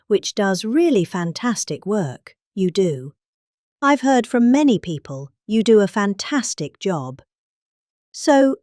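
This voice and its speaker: A woman's voice: medium pitch, a little mellow, dry recording, clean studio sound. A middle-aged woman with a British accent giving a dramatic comic reading of a speech, pompously, with exaggerated emphasis. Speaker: performer reading out a political speech.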